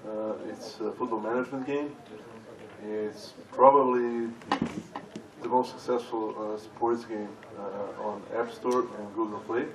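A man from Serbia, speaking haltingly as a conference panelist. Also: fairly low pitch, steady tone, crowd noise. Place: large conference hall with audience. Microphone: stage microphone heard from far off. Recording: echoey hall, distant, audience noise.

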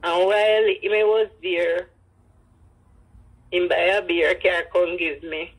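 A woman's voice: on the low side, little pitch movement, slow pace, rough recording. An older woman with a Jamaican accent telling personal stories in patois, acting out the dialogue. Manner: speaks in patois, acts out dialogue. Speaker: grandmother telling her life story. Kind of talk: personal storytelling.

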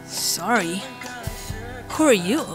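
An actress playing a stubborn, emotional young woman singer in an animated motion comic, with dramatic delivery. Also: mid-range voice, takes it slowly, music in the background, low-quality recording. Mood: guarded, downcast.

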